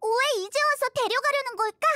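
Anime game fairy sidekick: piercingly bright, childlike, curious and naive, close dry recording. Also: female voice, a little fast, no echo. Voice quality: squeaky, childlike. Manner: expressive, naive, exclamatory. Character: small childlike fairy companion, curious, excitable, earnest.

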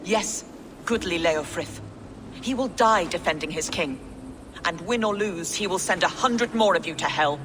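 A woman's voice: fairly low pitch, moderate pace, background noise, natural voice. A woman playing a proud, bitter captive queen in a dramatic video game, speaking with emotional, declamatory intensity. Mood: proudly defiant.

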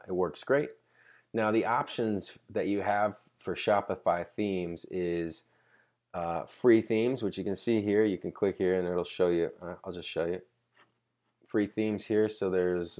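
Guy, deep voice, casual how-to screen recording voiceover, kinda thinking as he goes. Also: a little mellow. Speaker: tutorial presenter.

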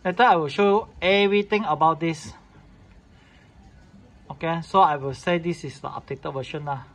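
A man's voice: on the high side, moderate pace, a bit bright. A man gives an informal, unscripted step-by-step device demonstration in clipped, repetitive phrasing. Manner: repetitive clipped phrasing in non-standard English. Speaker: tutorial host showing a device setup. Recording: clearly noisy room recording.

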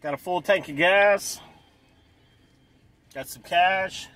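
A man in his forties vlogging from inside a car, talking excitedly in a casual, repetitive way and clearing his throat often. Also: high voice, slow pace. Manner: hyped, repeats himself, clears throat often. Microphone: dashboard camera close up.

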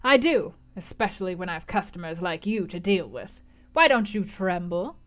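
A woman's voice: on the low side, sing-song, normal speed. A woman doing a harsh old fortune-teller crone. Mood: bold. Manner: bold, decided, mocking.

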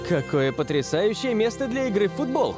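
A young football hero from a cartoon, determined and questioning. The voice is a bit high with animated intonation, and music plays faintly behind it. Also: male voice, a little fast. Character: determined, skeptical young male football star.

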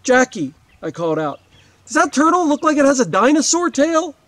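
A man in his forties with a high-pitched voice teaches young children by reading aloud. His delivery is expressive and explanatory, as a schoolteacher's would be. He speaks outdoors, a few steps from the camera. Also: normal speed, no echo. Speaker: fourth-grade teacher. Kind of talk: read-aloud lesson for children, with asides. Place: outdoors beside a wetland lake.

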